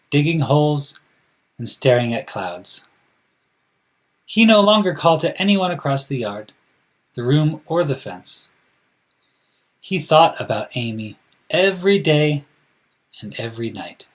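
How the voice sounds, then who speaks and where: Slow, animated man reading to children. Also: on the high side. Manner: addresses children directly, deliberate, animated phrasing. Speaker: school counselor reading a picture book to children. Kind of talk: expressive children's read-aloud.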